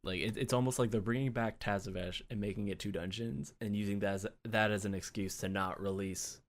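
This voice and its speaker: A man's voice: lowish voice, fairly even intonation, fairly quick. A man co-hosting a gaming podcast, chatting casually and breaking into laughter often. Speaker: podcast co-host.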